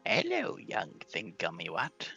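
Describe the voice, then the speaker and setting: A man's voice: huge pitch swings, unhurried, creaky, pushed and bright, slightly rough, lo-fi sound, faint music behind, heavily acted. A man voicing a befuddled, pompous, scatterbrained old wizard from a fantasy game. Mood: indignant, befuddled. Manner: pompous, befuddled, swooping into high pitch. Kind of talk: game dialogue read in character.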